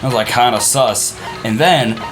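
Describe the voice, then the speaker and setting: A man's voice: mid-range voice, normal speed, rough recording. A man giving casual, jokey, slangy game commentary with a friend, rambling as he reasons aloud. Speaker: video game let's player. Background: game music.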